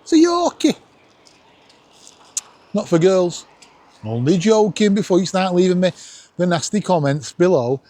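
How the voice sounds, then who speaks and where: A man's voice: sits high, measured pace, pauses often. A man with a Northern English accent chatting casually as a vlog host, joking and poking fun at himself. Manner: jokey, animated, self-deprecating. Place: outdoors at night on a seafront promenade. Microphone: camera worn around the neck.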